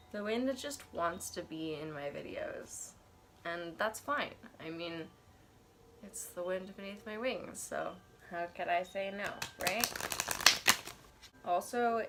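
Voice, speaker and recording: Young woman, stuffy nose from allergies, low-ish voice, rambling bedroom chat, slight room hiss. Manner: chatty, wandering asides, thinking aloud. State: congested from allergies. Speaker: tarot and oracle deck reviewer. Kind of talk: casual unboxing chat with read-aloud passages. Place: cluttered bedroom, indoors.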